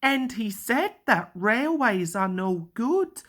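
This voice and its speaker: A woman's voice: fairly high pitch, sing-song, takes it slowly, a bit bright, voice pushed up, exaggerated voice. A woman voicing earnest male railway engines in a children's storybook. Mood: horrified. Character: worried male engines complaining and urging on.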